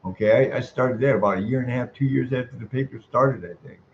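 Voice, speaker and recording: An older man with a mid-pitched voice is airing a complaint in informal speech. He sounds aggrieved and emphatic, rambling and circling back over his words. The sound is dull and lo-fi, with faint noise behind him. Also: quick pace. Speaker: caller, tenant making a complaint. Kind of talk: informal phone complaint, venting.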